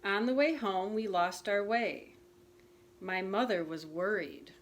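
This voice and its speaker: A woman's voice: mid-range voice, slow, lightly acted. A woman reading the part of a playful male frog who tells a spooky tale to his friend. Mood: storytelling. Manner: teasing, dramatic storytelling. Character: playful male frog telling a ghost story.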